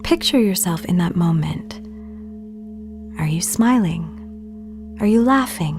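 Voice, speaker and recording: A woman playing an apologetic podcast host who guides a meditation in a hesitant, probing, soft-spoken way. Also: on the low side, expressive, slow, faint music behind. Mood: gentle, hesitant. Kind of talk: intimate guided meditation acting.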